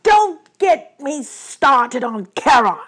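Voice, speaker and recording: A woman acts out an angry male god of the dead from a storybook. He is imperious and aggrieved, ranting at mortals with heavy, drawn-out emphasis on his words. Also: medium pitch, animated, lightly acted.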